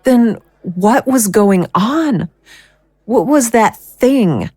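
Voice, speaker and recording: A woman playing a guilt-ridden, worn-down young mother in an audio drama, speaking haltingly and emotionally. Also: a little high, expressive, darkish tone, breathy edge, no echo, exaggerated voice. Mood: shaken, haunted. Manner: halting, emotional confession with sighs.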